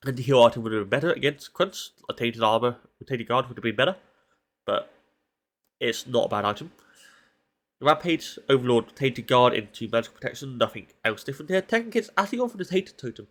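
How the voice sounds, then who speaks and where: A man's voice: mid-range voice, expressive, a little fast, fairly bright tone. Stuffy-nosed man casting a video game. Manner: casual, analytical, thinking aloud. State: congested from hay fever. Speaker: esports caster analyzing a match. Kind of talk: solo game commentary.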